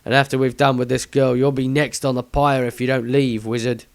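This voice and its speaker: A man's voice: medium pitch, flat delivery, brisk, smooth, close to an everyday voice. A man voicing a scornful, menacing village thug in a storybook reading. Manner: sneering, menacing. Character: scornful male village thugs threatening a wizard. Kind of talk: storybook character voice.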